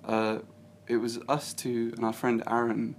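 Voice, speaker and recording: A man's voice: mid-range voice, little pitch movement, a little slow, slight background noise. A young man from England, a filmmaker guest, chatting casually with lots of hesitation and easy laughter. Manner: rambling, hesitant, laughs easily. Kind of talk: casual chat.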